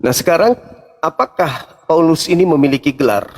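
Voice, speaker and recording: A man's voice: a little high, expressive, moderate pace. A man debating religion informally, questioning insistently in a confrontational, condescending way.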